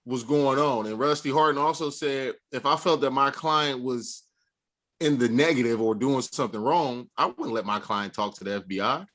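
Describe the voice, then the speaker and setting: A man's voice: mid-range voice, moderate pace, fairly bright tone. A man co-hosting a podcast in a playful, slangy casual chat. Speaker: podcast co-host.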